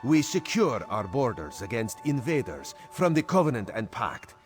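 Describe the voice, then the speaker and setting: A man plays a wry, humble cat-man agent from a fantasy video game. His mid-pitched speech rises and dips with lively swings, and music plays clearly behind him. He talks about himself in the third person. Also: normal speed, natural voice. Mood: wry, measured. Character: sly, humble male Khajiit cat-man agent, wryly loyal. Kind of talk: video game character dialogue.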